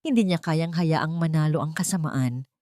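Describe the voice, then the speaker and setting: A woman's voice: low-pitched, normal speed, mellow, dry recording, studio quality. A woman reading a scripted dramatic audio story as its narrator.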